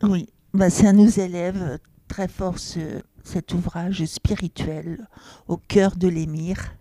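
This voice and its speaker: A woman hosts a literary radio program in a mellow, warm voice. Her short, encouraging prompts sound dry and close to the mic. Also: fairly low pitch, fairly even intonation, slow pace, no echo. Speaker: radio show host. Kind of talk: radio interview.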